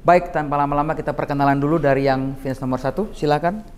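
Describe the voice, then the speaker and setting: A man's voice: fairly high pitch, steady tone, fast, darkish tone, big-room sound. A man hosting an interview and pageant session, chatty and mixing English phrases into his talk. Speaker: host.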